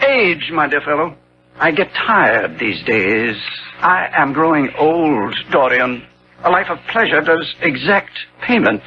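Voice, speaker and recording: An actor playing a weary, jaded aging gentleman, excitable and indignant, with florid theatrical delivery in 1970s radio drama style. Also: fairly high pitch, measured pace. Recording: dull, hissy old radio broadcast.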